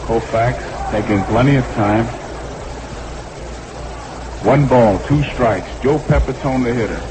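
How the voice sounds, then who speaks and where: Male baseball announcer, 1960s radio style, mid-pitched, clipped delivery, clearly noisy archival broadcast sound. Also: takes it slowly. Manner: short clipped phrases, repeats the count. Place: ballpark broadcast booth.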